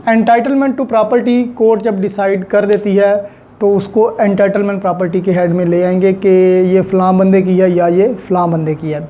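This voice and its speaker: A law lecturer with a Pakistani accent and a mellow, relaxed tone at a middling pitch. The delivery is deliberate and explanatory, with key terms repeated and unpacked. English legal terms are mixed into the speech.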